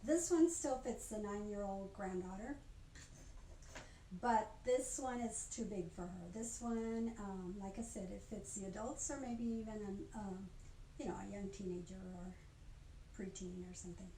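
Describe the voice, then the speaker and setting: An older woman giving an informal craft demonstration in a chatty, halting way, often stopping to rephrase. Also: medium pitch, dark tone. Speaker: craft instructor. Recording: roomy echo, faint background noise.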